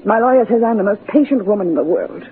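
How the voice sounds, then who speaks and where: An actress playing a bored, imperious yet needy wife, dramatic and insistent in 1940s radio melodrama style. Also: female voice, medium pitch, expressive, fast talker, lightly acted. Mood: bitter. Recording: dull 1940s radio recording, light noise.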